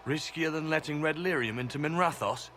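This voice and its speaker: An actor playing an earnest, composed secret agent in a fantasy video game, measured and direct. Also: male voice, a little high, noisy background, lightly acted. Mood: firm.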